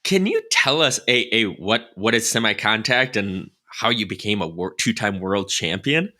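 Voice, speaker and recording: A man's voice: on the low side, expressive, normal speed, bright, forceful tone. A man in his forties co-hosting a podcast interview over a video call, with an upbeat, encouraging manner. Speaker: podcast co-host. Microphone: headset on video call.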